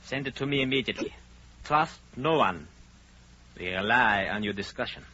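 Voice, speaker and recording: Authoritative spymaster, formal and deliberate, forceful tone, medium pitch, old radio drama, noisy muffled recording. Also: male voice, animated, breathy edge. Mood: commanding, businesslike. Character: authoritative, secretive male spymaster of high rank. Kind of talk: 1930s radio drama acting.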